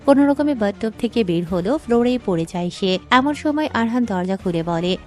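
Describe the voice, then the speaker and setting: A woman's voice: medium pitch, animated, normal speed, darkish tone, soft background music. A woman reading scripted story narration as the narrator.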